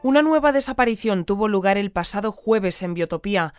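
An actress playing a composed radio newsreader in an audio fiction, reading scripted news in a measured, polite, deadpan way. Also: female voice, mid-range voice, music in the background, lightly acted. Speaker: news presenter.

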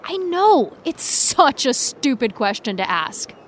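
A woman playing a guarded, self-deprecating high school senior in naturalistic podcast drama, with wry, defensive chatter. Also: big pitch swings, slow, a little hiss or hum, heavily acted. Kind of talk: naturalistic fiction podcast acting.

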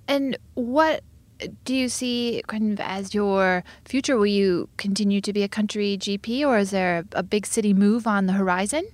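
A woman hosting an interview podcast, asking direct questions briskly. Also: mid-range voice, no room sound.

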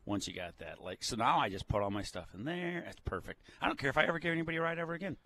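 A man's voice: mid-range voice, lively intonation, very fast, bright, forceful tone. A man guesting on a radio show, telling casual anecdotes in a jokey way. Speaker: drama teacher, radio guest.

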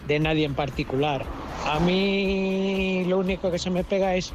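High-voiced Spanish male radio listener. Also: fairly even intonation, normal speed, music in the background, low-quality recording. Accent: Spanish (Spain). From Spain. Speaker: listener leaving a voice message.

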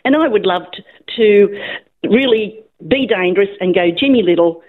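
A woman's voice: medium pitch, lively intonation, normal speed. Older aussie lady caller, friendly and chatty, tinny phone sound.

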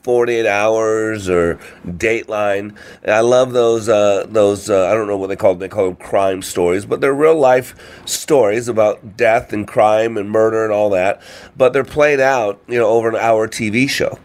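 A man's voice: fairly low pitch, steady tone, normal speed, darkish tone. Congested man hosting a motivational radio show. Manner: asks rhetorical questions, frequent "you know" and "right?". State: congested with a cold or allergies. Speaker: radio show host and trainer. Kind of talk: informal motivational talk.

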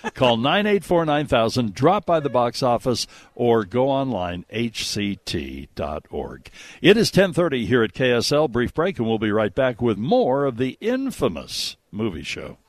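A man's voice: mid-range voice, huge pitch swings, moderate pace, dry recording. A man hosting a radio movie show with casual, jokey banter and emphatic, conversational delivery. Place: radio studio.

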